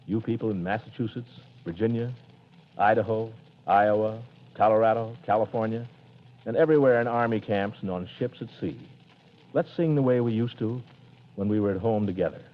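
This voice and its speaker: An actor playing a blustery, jovial middle-aged bachelor uncle in 1940s radio acting, booming and hearty. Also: male voice, brisk, natural voice. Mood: earnest. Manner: booming, hearty, theatrical chuckle. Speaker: lead character. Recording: dull vintage radio broadcast, faint hiss.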